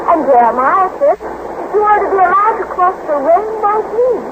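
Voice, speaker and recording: A woman's voice: extremely high pitch, animated, moderate pace. An actress playing a mystical teenage girl who talks of past lives, in formal, serene 1940s radio acting, heard on an old recording. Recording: tinny old radio recording with heavy hiss.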